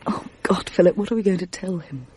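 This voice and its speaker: A genteel young Englishwoman, earnest and torn, with a mellow, soft tone and a hesitant delivery, as an actress plays her in a radio drama. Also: on the low side, a little fast, slight background noise, lightly acted. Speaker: scholar. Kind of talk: 1980s radio drama acting.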